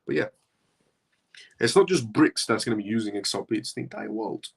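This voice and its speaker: A man's voice: fairly low pitch, lively intonation, fairly quick, creaky, a little hiss or hum. A man originally from Iran, hosting a live show and talking through his points in a rambling way that circles back on itself. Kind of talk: casual livestream commentary.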